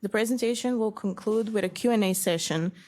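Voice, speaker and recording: A woman's voice: medium pitch, brisk, a little mellow. Woman hosting a talk, kinda hesitant with lots of ums, then reads out the speaker intro. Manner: hesitant, many fillers, then reads bio. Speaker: seminar host. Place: lecture room.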